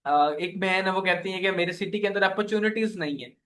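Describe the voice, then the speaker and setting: Male workshop coach, high-pitched and earnest, motivational preacher-like delivery. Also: fairly quick, fairly bright tone. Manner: earnest, preacher-like, repeats "okay" often; voices the listeners' questions and prayers. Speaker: online earning coach.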